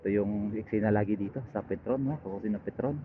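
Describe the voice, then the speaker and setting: Casting: male Filipino moto vlogger, casual halting chat, tinny narrow intercom sound, loud engine and road noise. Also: on the low side. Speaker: motorcycle vlogger. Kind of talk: casual unscripted ride chat to viewers. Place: outdoors, riding a motorcycle. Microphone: helmet intercom headset linked to action camera. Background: loud motorcycle engine and road noise.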